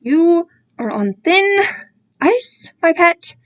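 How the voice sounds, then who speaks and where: A woman's voice: extremely high pitch, sing-song, drawn-out words, voice pushed up, heavily acted. A woman voicing a doting yet controlling male captor, with a patronizing manner, in a dramatic story reading. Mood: menacing, angry. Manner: cooing, patronizing.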